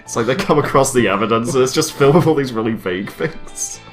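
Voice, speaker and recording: A man's voice: medium pitch, lively intonation, fast, soft background music. A man hosting a comic read-aloud of a fan story, narrating and breaking off with mocking asides. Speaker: reader, host. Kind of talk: comic dramatic reading.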